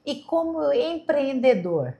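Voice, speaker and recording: Higher-pitched woman hosting a podcast. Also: very animated, takes it slowly, gravelly, dark tone. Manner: asks questions, echoes the guest's words back. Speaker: podcast host. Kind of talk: casual interview.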